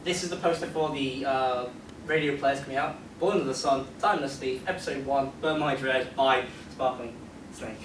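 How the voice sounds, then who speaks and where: A man presenting casually at a convention panel, rambling in an excitable, self-deprecating way. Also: medium pitch, normal speed. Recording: echoey, noisy hall, distant microphone.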